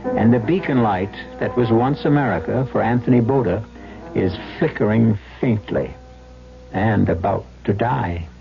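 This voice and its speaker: Muffled vintage radio, male host, low pitch, gravelly creak, grave dramatic narration, music underneath. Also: unhurried.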